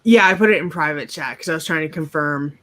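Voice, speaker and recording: A woman's voice: deep voice, quick pace, fairly bright tone. A woman chatting casually on a video call.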